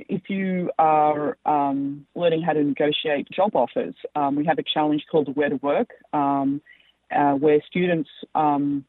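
A woman with an Australian accent, a company founder answering interview questions, speaking haltingly with many fillers. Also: sits low, no room sound. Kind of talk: interview over the phone. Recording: tinny dry phone line.

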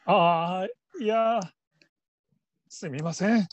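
A man's voice: high voice, extremely slow, no room sound, highs cut off. A man playing a timid, apologetic middle-aged call-centre trainee in a radio drama, speaking hesitantly and humbly. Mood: apologetic, nervous.

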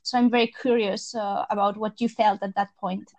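A woman's voice: mid-range voice, level tone, moderate pace, a little mellow. A woman hosting an interview, asking chatty questions with slips and restarts. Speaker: interviewer, host.

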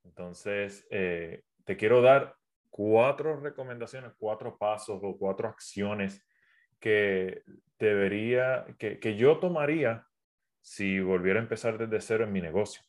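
A man from Puerto Rico with a Puerto Rican accent softened by years in South America, giving business advice on a casual live stream, talking straight to his viewers with many fillers and restarts. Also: on the low side, a little slow. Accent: Puerto Rican Spanish with a South American mix. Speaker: entrepreneur and business coach. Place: at home, phone on a tripod.

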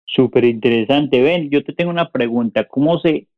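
A man's voice: medium pitch, moderate pace, no echo. Colombian male podcast host, dry dull sound. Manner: friendly, informal, asks questions. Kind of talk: casual interview chat.

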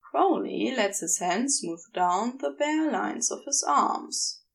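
Female audiobook-style fiction narrator: measured, expressive storytelling, very varied pitch, noticeable creak. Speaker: podfic narrator.

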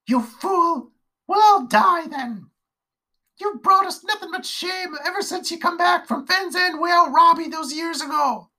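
Narrator putting on a shrill nagging backwoods ma, high and sharp, crude country talk. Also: lively intonation, normal speed, lightly acted, rough recording. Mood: scolding. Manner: rough rustic dialect, shrill scolding. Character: harsh, shrill rural mother, scolding. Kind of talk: storybook character voice.

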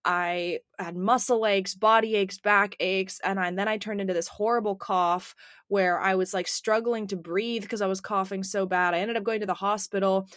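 A woman in her twenties co-hosting a podcast, talking casually with a slight rasp left over from a cough, with many fillers and restarts. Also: medium pitch, fairly even intonation, moderate pace, fairly bright tone, no room sound, studio quality. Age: 20s. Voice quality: slightly raspy. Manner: chatty, lots of "like" and restarts. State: slightly raspy, recovering from a cough. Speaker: podcast co-host. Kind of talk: casual chat.

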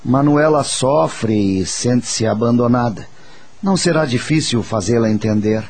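A man playing a wise, patient spirit teacher, speaking in a measured, reassuring and didactic way in a radio drama. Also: mid-range voice, expressive, darkish tone, slightly rough. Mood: reassuring, instructive. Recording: faint music under the voice.

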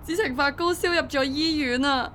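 A woman's voice: high voice, moderate pace, pushed and bright, slight background noise. A woman acting a burdened, devoted young single mother in a radio drama, emotive and confiding, with a Hong Kong Cantonese accent. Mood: distraught.

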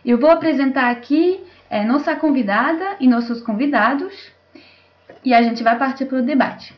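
A woman with a fairly high voice moderates a panel in a semi-formal register. Her delivery is halting, with small self-corrections. The sound is slightly dull and lo-fi, as on an online call. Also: expressive. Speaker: host and moderator. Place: remote video call.

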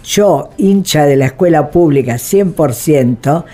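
A woman of eighty-eight with an Argentine accent, reminiscing in a digressive, halting way as an interview guest. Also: low-pitched, animated, warm tone. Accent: Argentine Spanish. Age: 88 years old. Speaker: interview guest, president of a human rights association.

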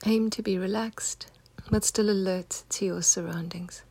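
Soft breathy woman doing guided meditation, almost whispering, slow gentle instructions, super dry close sound. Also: medium pitch, fairly even intonation, no echo. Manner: gentle, unhurried, soothing instruction.

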